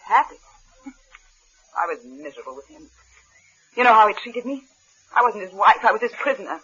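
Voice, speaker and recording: An actress playing a cold, hard wife in a 1950s radio suspense drama, cutting and sardonic, with an American accent. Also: female voice, mid-range voice, sing-song, moderate pace, bright, forceful tone, breathy edge, heavily acted. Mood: bitter. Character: cold, hard, scornful wife with mocking composure. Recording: muffled old radio recording.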